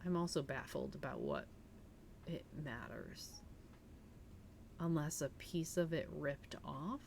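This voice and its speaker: A woman's voice: low voice, sing-song, slow pace, creaky, a little mellow, a little hiss or hum. A woman hosting a livestream, reading aloud in a storytelling style and chatting informally with her viewers. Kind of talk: storytelling read-aloud and casual stream chat.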